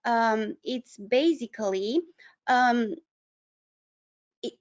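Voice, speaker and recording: A woman with a Romanian accent presenting an educational webinar, explaining things in a halting way with frequent pauses mid-sentence. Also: fairly high pitch. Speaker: webinar presenter, human rights advocate.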